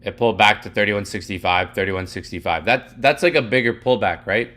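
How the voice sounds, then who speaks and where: A young man teaching live, walking through a price chart in casual, rambling talk full of "like" and "literally", his voice going hoarse and his throat needing clearing. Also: fairly low pitch, a little fast, sharp tone. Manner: rambling, heavy filler "like" and "literally". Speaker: trading educator.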